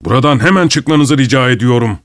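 A man's voice: medium pitch, a little fast, a bit bright, no echo, clean studio sound, natural voice. A man voicing a stern old police chief in a storybook, angry, commanding and threatening. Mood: stern anger.

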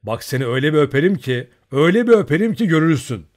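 A man playing a loud, swaggering young Cossack. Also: medium pitch, lively intonation, fast talker, close to an everyday voice. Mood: loud bold.

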